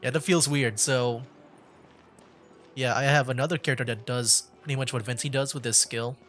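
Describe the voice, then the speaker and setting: A man's voice: mid-range voice, fairly even intonation, moderate pace, a bit bright, soft background music. A man giving casual gameplay commentary as a streamer, thinking aloud in a hesitant way. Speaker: game streamer, virtual YouTuber.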